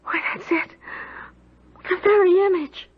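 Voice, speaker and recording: A woman's voice: extremely high pitch, fairly even intonation, a little slow, slight background noise. An actress playing an earnest, respectful young servant woman in a radio drama. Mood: earnest, frightened. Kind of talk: 1970s radio drama acting and narration. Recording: dull vintage radio recording.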